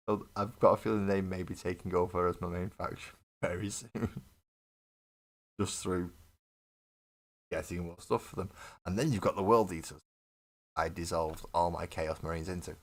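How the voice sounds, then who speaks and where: Brief: low-voiced man, casual stream host, bit of a cough, chats with viewers. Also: measured pace, long pauses. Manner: chatty, laughs often, talks to viewers in chat. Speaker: mini painting livestream host. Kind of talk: casual chat while building models.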